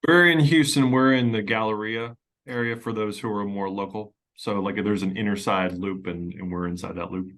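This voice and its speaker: A man with a Pennsylvania accent, a lawyer guest explaining his work in a relaxed, conversational way with some restarts. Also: fairly low pitch, moderate pace, hardly any pauses. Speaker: estate planning attorney, guest. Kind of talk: casual interview talk.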